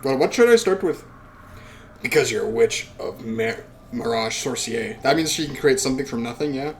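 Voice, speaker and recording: A man's voice: mid-range voice, expressive, moderate pace, a little hiss or hum. A man who hosts a let's play reads a visual novel aloud and adds casual asides with swearing.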